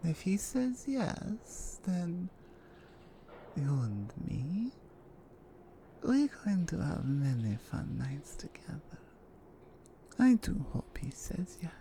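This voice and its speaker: A woman voicing a seductive, menacing Russian crime family member with a Russian accent, teasing and commanding in an intimate ASMR roleplay, her breathy murmur sliding into whispers. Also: on the low side, sing-song, mellow, slight background noise, heavily acted, low-quality recording. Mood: smug, seductive, then whispering, seductive. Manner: slow, deliberate, dominant and teasing.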